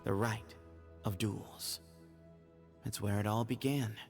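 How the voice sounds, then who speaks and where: A man's voice: fairly low pitch, steady tone, slow pace. An actor playing a confident, composed young male leader of a desert temple in a video game, speaking formally. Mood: storytelling. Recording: faint music under voice.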